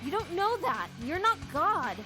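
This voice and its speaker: A woman voicing a bold, brisk young heroine in a visual novel, matter-of-fact and challenging in manner. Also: very high voice, very animated, bright, forceful tone, soft background music, full character voice. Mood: defiant.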